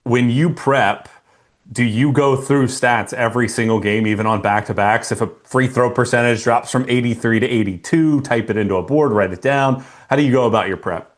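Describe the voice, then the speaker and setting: A man's voice: medium pitch, normal speed. A man hosting an interview who asks questions in a friendly, conversational way. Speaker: interview host.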